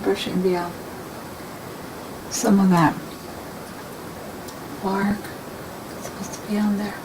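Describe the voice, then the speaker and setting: Soft-spoken woman painting, noisy room. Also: mid-range voice, flat delivery, slow pace. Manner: rambling, soft-spoken, thinking aloud while painting. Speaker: painting instructor. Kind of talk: casual painting demo talk.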